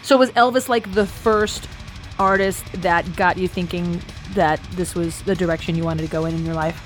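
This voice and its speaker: A woman's voice: fairly low pitch, fairly even intonation, normal speed. Woman radio host, upbeat announcer delivery, faint music bed. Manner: upbeat, polished announcer delivery with some hesitant restarts. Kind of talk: radio interview with announcer-style intros.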